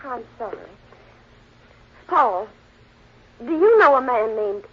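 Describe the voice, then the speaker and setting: An actress playing a hesitant, wary young American model in a 1940s radio crime drama. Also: female voice, fairly high pitch, sing-song, slow, exaggerated voice. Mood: subdued, uneasy. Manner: hesitant, doubtful. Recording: hissy, muffled old radio broadcast.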